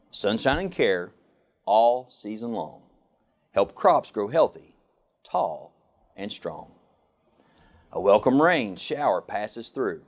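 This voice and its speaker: A man reads a children's story aloud in a fairly low voice. His pace is very slow, and his pitch swings widely through each rhyming line, giving it an expressive, sing-song lilt. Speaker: government official reading a children's picture book.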